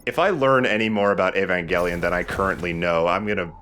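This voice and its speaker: Guy doing a lets play, lowish but really bright punchy voice, jokey and sweary, some music under it. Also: fast talker. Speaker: let's play commentator. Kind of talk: casual gameplay commentary with banter. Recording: music behind the voice. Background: game music.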